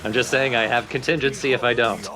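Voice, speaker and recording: Wry mid-pitched man bantering over gameplay. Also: fairly even intonation, quick pace. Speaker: let's play commentator. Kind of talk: casual game banter. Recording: game music behind the voice.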